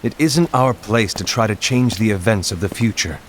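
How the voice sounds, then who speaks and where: A man playing a calm, dutiful leader in a video game cutscene, speaking in a measured, formal way. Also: on the low side, slight background noise, natural voice. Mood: solemn, resolute.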